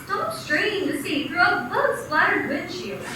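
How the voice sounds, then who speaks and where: Woman announcer, brisk salesy ad read, high voice, very echoey hall, distant. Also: very animated. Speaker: radio announcer. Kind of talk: mock 1940s radio ad announcing. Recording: echoey hall from far off, noise.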